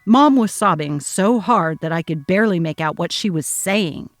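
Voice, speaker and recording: Brief: woman reads young husband, placating and evasive, lowered voice, dramatic text-story narration. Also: animated, normal speed, dry recording, soft background music, natural voice. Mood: alarmed. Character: worried young husband, placating and conflict-avoidant.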